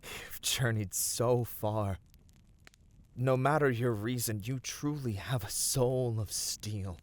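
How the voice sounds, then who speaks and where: A man playing a gentle, devoted male battle dancer of a fantasy race in an ASMR roleplay, speaking earnestly and soothingly with dramatic pauses. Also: medium pitch, natural voice. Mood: tender concern. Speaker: voice actor.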